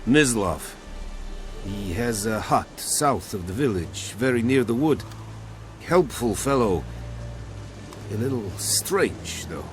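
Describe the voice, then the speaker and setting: A stern foreign commander in a video game, formal and measured as he gives orders. His voice is fairly low. Clear music plays behind him. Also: expressive.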